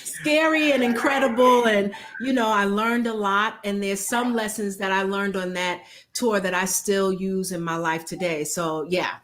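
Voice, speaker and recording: A middle-aged woman from Brooklyn, a concert singer chatting as a guest over a video call, animated and laughing often as she talks. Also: medium pitch, unhurried, few pauses, slight background noise. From Brooklyn, New York, USA. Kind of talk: casual interview chat.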